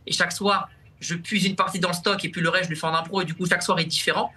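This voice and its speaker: A young man with a high and very bright, forceful voice talks in a TV studio. He chats casually as a comedian guest, often stumbling and repeating words before he finds them. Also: fairly even intonation, fast, a little hiss or hum. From France. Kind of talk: casual talk-show chat.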